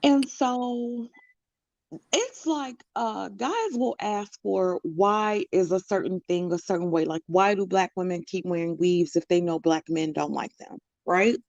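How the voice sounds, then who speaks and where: A woman's voice: medium pitch, unhurried, darkish tone, no echo, lo-fi sound. A woman joining a livestream as a guest, explaining things in a casual, slightly downcast way. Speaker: call-in guest. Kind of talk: casual conversation.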